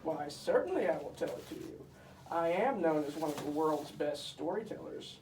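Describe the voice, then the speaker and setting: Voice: medium pitch, expressive, normal speed, a little mellow, close to an everyday voice. A performer voicing a boastful, bossy puppet storyteller, pompous and emphatic, telling comic Bible tales. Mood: self-important storytelling. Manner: pompous, emphatic, mock-scholarly. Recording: echoey room, distant mic, faint noise.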